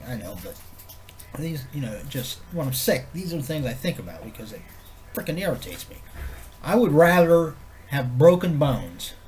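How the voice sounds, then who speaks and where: A man with a stuffed-up head cold talks on, his voice nasal and congested. His pitch sits mid-range for a man. He rambles in a low-key, easygoing way and swears casually. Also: darkish tone, slight background noise, poor audio. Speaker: vlogger. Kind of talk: rambling casual chat to camera.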